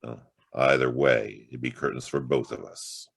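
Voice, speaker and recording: A hardboiled noir private eye, super low voice, wry and clipped, dry close sound, radio play style. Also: male voice, steady tone, normal speed, gravelly, husky, dry recording, exaggerated voice. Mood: grim, tense. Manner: wry, clipped tough-guy talk with slangy quips. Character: hard-boiled male private detective, world-weary, wisecracking. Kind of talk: noir radio-play acting and first-person narration.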